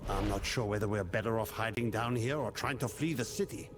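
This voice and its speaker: An actor playing a worried, grumbling survivor in a fantasy game. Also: male voice, on the low side, a little fast, over music, lightly acted. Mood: worried, bitter. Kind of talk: scripted game voice acting.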